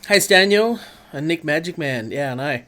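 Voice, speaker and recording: A man's voice: on the high side, animated, normal speed, sharp tone. An Australian man with a stuffy, nasal voice from a cold, chatting casually with viewers while streaming a racing game. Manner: chatty, greets viewers by name. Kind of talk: casual live-stream chat over gameplay.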